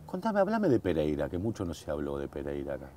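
A man's voice: extremely low pitch, wildly swooping pitch, fast, mellow, slight background noise. A man in his forties with an Argentine accent, hosting a casual conversational interview. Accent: Argentine Spanish. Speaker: host, interviewer. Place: outdoor garden patio, at a dining table.

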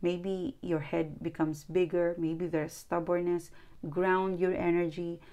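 A woman's voice: on the low side, little pitch movement, takes it slowly, warm tone, highs cut off. A woman whose first language is not English, giving an earnest tarot reading with lots of repeating and restarting, recorded indoors at a table. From outside New Zealand. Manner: earnest, repetitive, coaching tone with restarts. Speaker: tarot reader.

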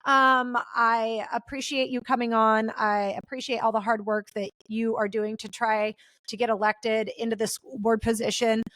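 High-voiced American woman hosting a podcast. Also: level tone, moderate pace, a bit bright, no room sound. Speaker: podcast host. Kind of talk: casual chatty interview.

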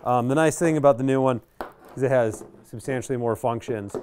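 American guy, middle aged, normal pitch, chill unboxing talk, kinda thinking out loud. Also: brisk, a little hiss or hum. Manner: laid-back, conversational, thinking aloud. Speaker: product reviewer and presenter. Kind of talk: casual unboxing commentary. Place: indoor radio workshop.

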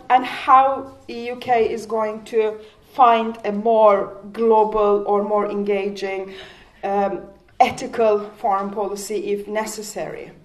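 A woman in her forties whose first language is Kurdish, speaking on a panel in a community hall with a handheld mic, impassioned, with rhetorical questions and frequent restarts. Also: mid-range voice. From Turkey. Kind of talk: public panel talk.

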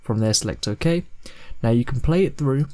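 A man in his twenties narrating a software tutorial in plain, step-by-step instructions. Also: medium pitch, expressive, moderate pace. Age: 20s. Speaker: host of video editing tutorials.

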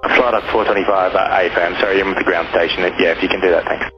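A man working as an air traffic controller, giving measured, procedural instructions over aviation radio. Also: sits low, steady tone. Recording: muffled lo-fi aviation radio, music behind.

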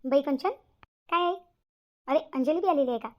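A woman's voice: high voice, normal speed, highs cut off, lightly acted. A woman voicing a fussy, nagging middle-aged village mother in a cartoon, repeating herself in a rural Maharashtrian accent. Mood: fussing, chiding. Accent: rural Maharashtrian Marathi. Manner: nagging, repetitive. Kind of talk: cartoon comedy dialogue.

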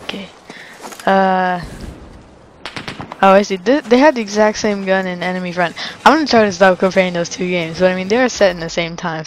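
A woman's voice: medium pitch, slight background noise, low-quality recording. A young woman casually commenting while she plays a video game, chatty and rambling with frequent laughs. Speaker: let's play host. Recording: commentary over game sounds.

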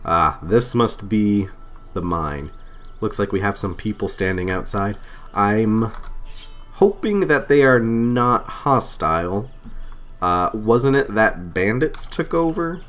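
Man, lowish voice, getting over a cold, casual let's play chatter, thinking aloud, self-deprecating. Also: expressive, slow, fairly bright tone. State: recovering from a cold. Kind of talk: casual gameplay commentary. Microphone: desk microphone.

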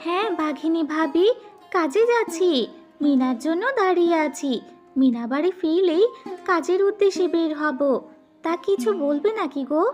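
Woman voicing a kind high-voiced mother fox. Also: normal speed, a little mellow, soft background music. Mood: kindly, obliging. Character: kind, hardworking mother fox, trusting and helpful. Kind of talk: children's animated fable dialogue.

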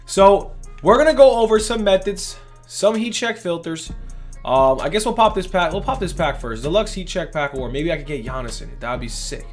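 A young man with a voice going hoarse, hosting a casual gaming video in hyped, rambling talk full of "literally" and "bro". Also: high voice, lively intonation, moderate pace, faint music behind. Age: young adult. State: losing his voice. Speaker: gaming channel host. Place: indoors at a gaming setup, face cam.

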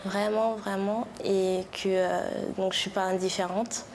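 A woman's voice: mid-range voice, level tone, unhurried, a little mellow, a little hiss or hum. A woman in her twenties answering carefully and hesitantly in a TV studio, full of fillers. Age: 20s. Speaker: guest. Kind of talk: TV talk show reply. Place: large TV studio with audience. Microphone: lapel mic.

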